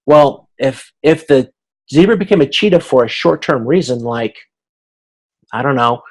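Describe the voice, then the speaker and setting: A man giving an informal explanatory lecture as an instructor on a video conference, haltingly thinking aloud. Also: mid-range voice, animated.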